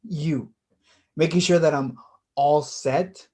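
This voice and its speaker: A woman's voice: deep voice, steady tone, slow pace, dark tone, slightly rough, full character voice. A woman playing an insistent, needling younger brother of college-graduate age in a naturalistic staged play reading, pressing and direct. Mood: insistent, challenging.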